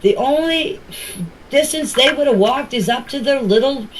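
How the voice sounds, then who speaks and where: A woman's voice: high-pitched, normal speed, slight background noise. A middle-aged or older woman, giving an informal personal account in a plain-spoken, emphatic way and often repeating herself. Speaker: family member giving her account. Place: voice clips recorded for a group chat.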